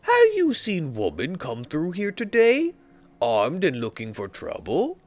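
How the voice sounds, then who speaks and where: A man's voice: a little high, wildly swooping pitch, measured pace, pitched above the performer's own voice. A man voicing a tough male street runner who speaks in blunt, clipped broken English. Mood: blunt questioning.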